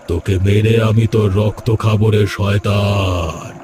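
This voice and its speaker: A man voicing a menacing, bloodthirsty male ghost in a cartoon, speaking in threats and taunts. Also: lowish voice. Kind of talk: Bengali cartoon horror voice acting. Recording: loud music behind the voice.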